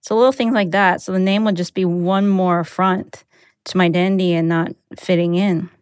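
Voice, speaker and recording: A young adult woman, raised speaking Mandarin first, telling a personal story in a candid, reflective way with soft laughs. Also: lowish voice, fairly even intonation, moderate pace, clear, resonant tone, no echo, clean studio sound. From United States. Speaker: interviewee, newsletter writer.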